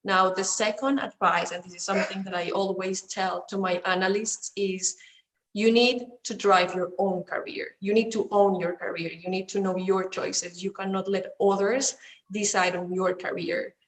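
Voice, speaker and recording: A woman's voice: medium pitch, level tone, moderate pace, roomy sound, rough recording. A woman speaking as a finance panelist on a video call, giving firm advice in short emphatic statements.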